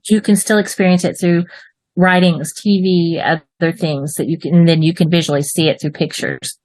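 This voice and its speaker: A woman with a Texas twang teaches children over a video call, upbeat and encouraging. Her voice has a mid pitch and sounds close and dry, with no room around it. She likes playful, folksy rhyming goodbyes. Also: no room sound, low-quality recording. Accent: Texan. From Texas, United States. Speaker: English writing teacher. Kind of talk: online class instruction.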